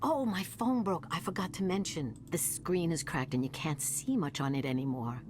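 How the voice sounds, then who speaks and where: A woman's voice: sits low, normal speed, lightly acted. A woman playing a chatty, lonely, scatterbrained older lady who rambles wistfully in a visual novel. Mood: resigned, friendly. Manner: rambling, folksy, wistful storytelling. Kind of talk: naturalistic visual novel voice acting.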